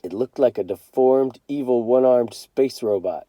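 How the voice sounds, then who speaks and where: A man reading a children's novel aloud as a teacher, in an expressive storytelling style. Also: mid-range voice, a little slow, no room sound.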